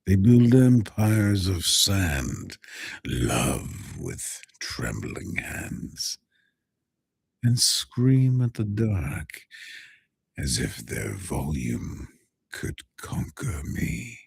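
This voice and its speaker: A man voicing an ancient death-like entity who scorns frail humans, speaking in slow, hushed, savoring whispers. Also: low-pitched, very animated, creaky, breathy edge, no echo, pitched above the performer's own voice, full character voice. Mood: gloating menace. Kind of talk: dark villain monologue.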